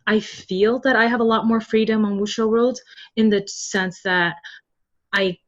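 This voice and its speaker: A chatty woman speaking casually as an interview guest, quick to laugh, explaining her work as a translator. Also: mid-range voice, steady tone, highs cut off. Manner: chatty, laughs readily while talking. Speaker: podcast guest, web novel translator.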